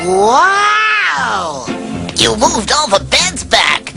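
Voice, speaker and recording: A woman voicing an excitable, grateful little dwarf in a cartoon, wide-eyed and enthusiastic. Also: high voice, extremely slow, gravelly, loud music behind the voice, exaggerated voice. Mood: delighted.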